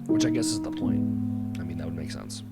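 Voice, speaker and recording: A man's voice: low-pitched, wildly swooping pitch, fairly quick, vocal fry. A man hosting a reaction video, talking conversationally and thinking aloud as he watches. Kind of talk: casual reaction commentary. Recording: music playing behind the voice.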